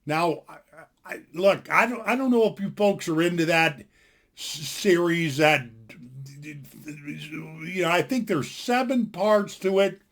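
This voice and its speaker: An older man in his sixties with a fairly high-pitched voice speaks from his home into a desktop computer microphone. He talks in a blunt, folksy, opinionated way, rambling and restarting his sentences as he goes. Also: slow, a bit bright. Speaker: vlogger. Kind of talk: casual opinion rant to camera. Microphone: desktop computer mic.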